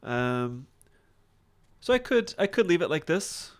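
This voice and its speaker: A man teaching software in a casual screen-share tutorial, thinking aloud informally as he demonstrates each step. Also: fairly high pitch, very animated, slow pace. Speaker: software instructor.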